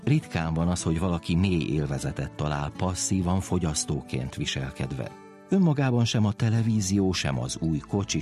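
A man's voice: very deep voice, warm tone. A man presenting in the style of a scripted narration.

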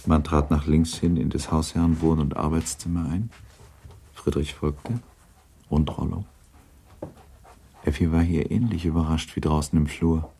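A man narrating a literary radio play in a measured, sober storytelling manner, with a dark, gravelly, husky voice. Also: very deep voice, steady tone.

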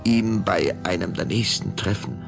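A man narrating a children's audio drama in a measured, suspenseful storytelling style. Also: low voice. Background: clear background music.

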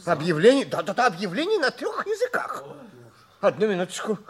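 An actor playing an excitable, indignant, fussy man in a 1960s Soviet radio drama, exclaiming and repeating himself in agitation. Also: sits high, a little fast, husky, heavily acted. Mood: flustered, bewildered.

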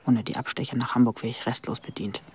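A woman's voice: very deep voice, fairly even intonation, fast, darkish tone, slight background noise. An actress playing a bored, sullen girl confiding her private thoughts in a radio drama. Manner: disgruntled, confiding. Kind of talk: intimate radio drama inner monologue.

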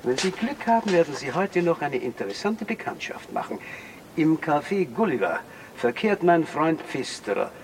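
A man's voice: on the high side, gravelly, a little hiss or hum. An actor playing a driven, sardonic man hunting a murderer in old Vienna, mocking and insistent in a theatrical radio drama manner. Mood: conspiratorial.

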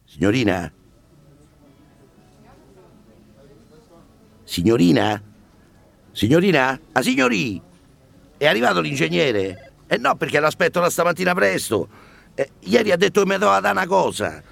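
Gravelly, fussy doorman. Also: fairly high pitch, huge pitch swings, normal speed, a bit bright, heavily acted. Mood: pestering, anxious. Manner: stammering, insistent, colloquial. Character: flustered, complaining building doorman pestering the receptionist. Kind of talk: radio drama acting.

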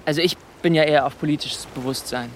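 An actor playing an eager, awkward teenage boy, halting and colloquial, in a German radio play. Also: a little high, steady tone, a little hiss or hum, lightly acted.

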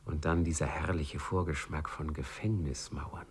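Deep, husky radio storyteller. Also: male voice, fairly even intonation, moderate pace, full character voice. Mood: wry. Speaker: narrator. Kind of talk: scripted literary narration, 1950s German radio play.

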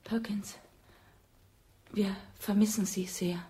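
A woman acting a refined young lady in a radio play. She is prim and soulful, speaking at a medium pitch with affected elegance. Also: steady tone, slow, dark tone, lightly acted. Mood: soulful, yearning. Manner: prim, affectedly refined, often breathy whispering. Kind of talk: German radio drama acting.

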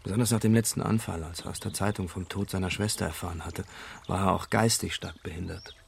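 A man playing a calm, reflective young Englishman giving a witness account in a German radio crime drama. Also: deep voice, fast, vocal fry, slight background noise. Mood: reflective, measured. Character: young Englishman, dying uncle's observant great-nephew, calmly forthcoming.

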